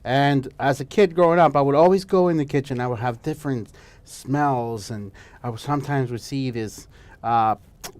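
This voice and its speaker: Chatty middle-aged chef from El Salvador. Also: male voice, mid-range voice, normal speed, darkish tone. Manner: chatty, frequent restarts and fillers. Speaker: guest chef. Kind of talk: casual interview chat.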